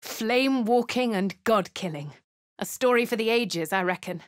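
An actress with a posh English accent playing a composed adventurer in a game, speaking in a dry, measured, lightly sardonic way. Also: female voice, a little high, dry recording, lightly acted. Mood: wry. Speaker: player character. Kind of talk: game voice lines. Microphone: studio mic.

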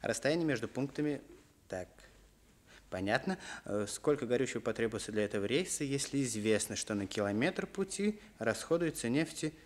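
A man's voice: mid-range voice, expressive, normal speed, close to an everyday voice. An actor playing an earnest, exasperated teenage boy tutor in a Soviet radio play, muttering and scolding. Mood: concentrating, muttering.

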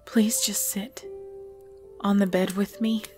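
A woman acting a tender, regal female vampire lover in an intimate ASMR roleplay, speaking softly and hesitantly with breathy whispers. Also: mid-range voice, flat delivery, slow pace, lightly acted. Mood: shaken, reassuring. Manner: soft, hesitant, often dropping to breathy whispers. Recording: music bed under the voice.

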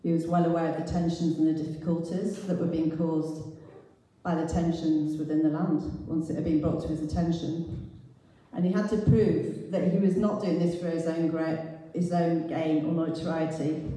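Middle-aged woman, church talk, very low pitch, heavily echoing hall. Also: level tone, normal speed, dark tone. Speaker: church speaker. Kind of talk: sermon with scripture readings. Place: large high-ceilinged church hall. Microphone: handheld mic through speakers, picked up by distant camera. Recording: very echoey hall, far from the camera.

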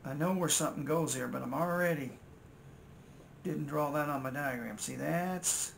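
Older American male hobbyist, fairly high creaky voice, casual folksy think-aloud narration at a workbench. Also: normal speed. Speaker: electronics repair hobbyist and video host. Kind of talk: casual muttered narration while working at repairs. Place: indoors at a repair workbench. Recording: steady noise, home-made workbench recording.

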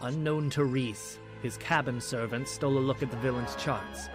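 A man voicing a solemn priestess of an alien order in a comic dub, formal and measured. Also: medium pitch, steady tone, lightly acted. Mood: solemn, ominous. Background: music.

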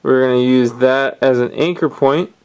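A man calmly explaining step by step how to tie fishing knots, talking while his hands work at a table indoors. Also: medium pitch, moderate pace, clear, resonant tone. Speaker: fishing instructor. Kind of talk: hands-on knot-tying tutorial.

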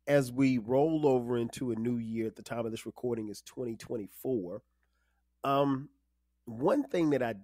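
Relaxed fifty-something man hosting a podcast. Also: mid-range voice. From South Carolina. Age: 50s. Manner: relaxed, conversational, many fillers. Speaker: podcast host. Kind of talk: casual solo podcast monologue.

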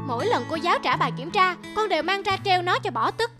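A woman's voice: very high-pitched, lively intonation, quick pace, music in the background. A woman voicing a boastful, competitive little schoolgirl in a cartoon. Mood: resentful. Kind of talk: cartoon dialogue.

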